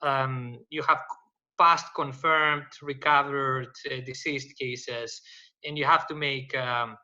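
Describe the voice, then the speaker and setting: Man, bright lo-fi call audio. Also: medium pitch, steady tone. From Greece. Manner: halting, many fillers and restarts. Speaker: data scientist panelist. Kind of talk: panel discussion, unscripted explanation.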